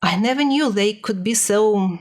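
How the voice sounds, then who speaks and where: A woman's voice: medium pitch, slow. A woman giving a casual personal report as a language learner, speaking hesitantly and a little nervously as she searches for words.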